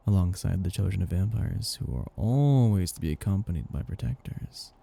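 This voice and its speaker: A man playing a possessive, condescending vampire master who croons and teases slowly in an intimate ASMR roleplay. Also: sits low, animated, full character voice. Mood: playful, condescending. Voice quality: husky, gravelly, dark. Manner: slow, crooning, teasing, condescending.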